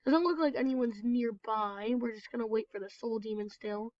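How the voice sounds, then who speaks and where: A woman's voice: on the high side, normal speed, darkish tone, no room sound. A woman with a cold, nasal and congested, rambling casually as she comments on her own gameplay for a video. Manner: chatty, rambling, apologetic. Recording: dull, lo-fi, dry recording.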